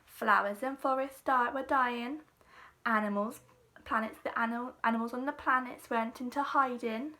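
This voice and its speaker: Female teacher as storybook reader, high and very bright voice, expressive delivery with dramatic emphasis. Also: normal speed. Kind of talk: expressive children's storybook reading.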